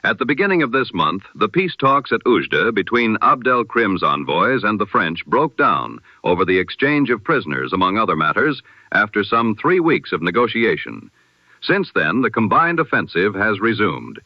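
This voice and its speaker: A man reads a scripted news bulletin in 1920s radio style, formal and solemn, with measured oratorical delivery through an old-time radio filter. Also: lowish voice, very animated, no room sound. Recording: dull, band-limited vintage radio sound.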